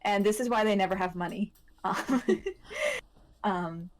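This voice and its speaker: A woman's voice: mid-range voice, unhurried. A woman co-hosting a podcast in a casual chat, rambling and interrupting herself with frequent "like". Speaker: podcast co-host.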